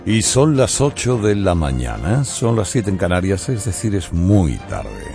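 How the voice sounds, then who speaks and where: A man's voice: low voice, sing-song, measured pace, vocal fry, music in the background. A middle-aged man hosting a morning news show, giving opinionated commentary and addressing listeners directly. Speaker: radio morning show host.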